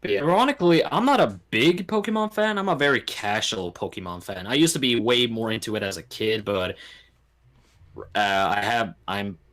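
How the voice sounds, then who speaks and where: A man's voice: lowish voice, animated, normal speed, a bit bright. A man hosting a podcast, chatting casually about films in an informal conversational style.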